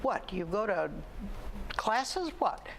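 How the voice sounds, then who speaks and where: A woman's voice: mid-range voice, very animated, slow pace, creaky, a little hiss or hum. A woman hosting a local community TV interview show, chatty, often saying "all right". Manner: chatty, warm asides, frequent "all right". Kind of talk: casual interview.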